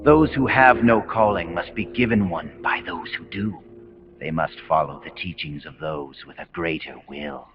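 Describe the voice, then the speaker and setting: An actor with an American accent playing a calm, messianic military commander villain in a video game, speaking in solemn, grandiose, deliberate speeches. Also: male voice, low voice, lightly acted. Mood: preaching. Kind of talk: dramatic video game cutscene monologue. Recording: muffled game audio under loud music.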